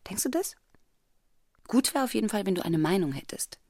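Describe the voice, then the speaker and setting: A woman's voice: medium pitch, expressive, brisk, darkish tone, no room sound, clean studio sound. A woman speaking in pointed, confrontational questions, as in a radio play. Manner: probing, confrontational.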